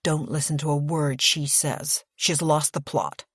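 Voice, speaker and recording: A woman's voice: very deep voice, moderate pace, creaky, dry recording, studio quality, pitched below the performer's own voice, heavily acted. A woman voicing a curt, protective, angry young man in an audiobook, blunt and snapping. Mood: dry.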